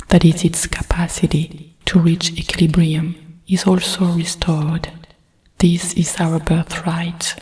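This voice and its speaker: French accent lady doing asmr meditation, breathy whisper, low pitched and slow, soothing. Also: a little mellow, poor audio. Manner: soothing, measured, deliberate.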